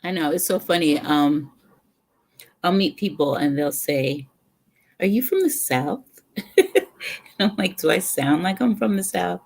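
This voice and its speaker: A middle-aged woman from the Bronx talks in a low, husky voice with a New York accent. She chats with her livestream viewers at an unhurried pace, rambling and drifting between topics. She is on a webcam at home in front of a brick wall. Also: darkish tone. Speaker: livestream host. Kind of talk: casual livestream chat.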